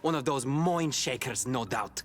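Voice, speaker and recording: Game role: suspicious ritual guard male actor, medium pitch, hot-tempered, music faintly behind. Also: lively intonation, a little slow, natural voice. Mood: outraged. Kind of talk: video game cutscene acting.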